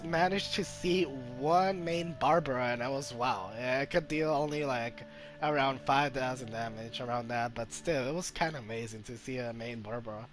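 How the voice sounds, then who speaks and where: A man giving casual gameplay commentary as a streamer, halting as he searches for words. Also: medium pitch, a bit bright. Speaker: game streamer. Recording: game music behind.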